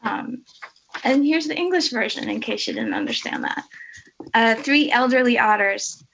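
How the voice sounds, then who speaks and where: A woman's voice: on the high side, wildly swooping pitch, moderate pace, vocal fry, a bit bright, muffled recording, slight background noise. A middle-aged woman from the Netherlands, a native Dutch speaker, introducing and reading a poem. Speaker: hospital research director reading a poem. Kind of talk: personal introduction and poetry reading.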